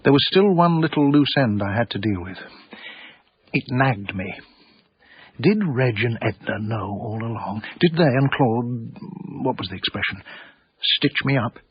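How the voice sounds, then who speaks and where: An actor playing a genteel, melancholy middle-aged English art dealer in a radio drama, literate and precise in manner. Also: male voice, medium pitch, expressive, a little slow, slightly rough, dry recording, lo-fi sound. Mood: wistful. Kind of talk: radio drama narration and dialogue.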